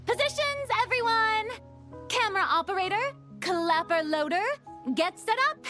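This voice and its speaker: A young woman directing a film in a video game. Her voice is high and swings widely, theatrical and exacting, yet encouraging. Music plays faintly behind her. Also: expressive, normal speed, pushed and bright, exaggerated voice. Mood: brisk, instructive. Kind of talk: animated video game voice acting.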